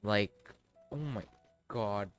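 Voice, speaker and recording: A man giving casual, halting livestream commentary on a game, rambling and often trailing off mid-thought. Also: lowish voice, clean tone. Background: background music.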